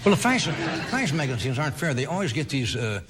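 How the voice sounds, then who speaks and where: A man's voice: medium pitch, quick pace. A man hosting a late-night talk show, reading comic rhymes and teasing his guest in interview chat. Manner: wry, teasing, lively delivery. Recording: noisy broadcast with crowd. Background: studio audience laughter.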